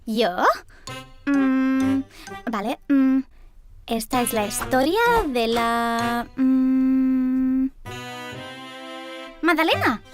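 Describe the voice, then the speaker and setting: A woman voicing a timid, earnest young princess in an animated children's cartoon. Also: high-pitched, very slow, long pauses. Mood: hesitant. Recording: music behind the voice.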